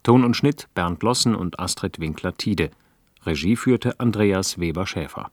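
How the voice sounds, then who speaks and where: A man's voice: low voice, animated, a little slow, noisy background. A man working as a radio announcer, reading scripted credits in a formal style.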